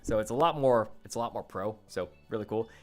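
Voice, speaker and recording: A man's voice: fairly low pitch, fairly quick, music in the background. A man in his late twenties chatting casually into a condenser microphone for his vlog, rambling and correcting himself with lots of fillers. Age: 20s. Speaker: YouTuber. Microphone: condenser studio microphone.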